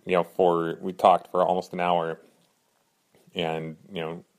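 A man hosting his own podcast in earnest, rambling casual talk, a little hoarse from a cold. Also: sits low, little pitch movement, measured pace. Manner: earnest, rambling, frequent fillers and restarts. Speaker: podcast host.

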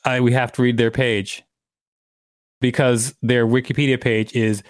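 A man's voice: mid-range voice, flat delivery, a little slow, dry recording, clean studio sound. A man co-hosting a podcast, reading an article aloud and commenting casually. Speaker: podcast co-host.

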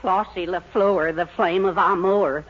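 Sheepish lodge husband, middle-aged, American, apologetic, high voice, noisy old radio sitcom recording. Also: male voice, fairly even intonation, a little slow. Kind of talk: 1940s radio situation comedy acting. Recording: muffled, clearly noisy 1940s radio recording.

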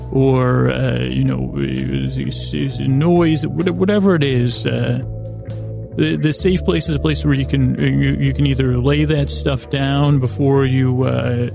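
A man's voice: medium pitch, creaky. A man hosting a bedtime podcast in a lulling, meandering improvised monologue, stammering and restarting his sentences as he drifts into tangents. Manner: meandering, stammering, with repeated false starts and tangents; lulling, soothing delivery. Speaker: host of a bedtime sleep podcast.

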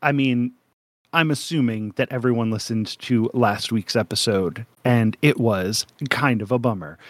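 A young man co-hosting a casual podcast, rambling through jokes and breaking into laughs. His voice sits at a middling pitch and is recorded very dry, close to the mic with no room sound. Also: unhurried, no echo. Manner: jokey, rambling, laughs often. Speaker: podcast co-host.

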